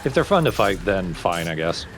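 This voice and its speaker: A man's voice: low voice, very animated, moderate pace, noisy background. A man co-commentating on a video game in casual, conversational talk. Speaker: let's play co-commentator. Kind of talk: casual gameplay chat.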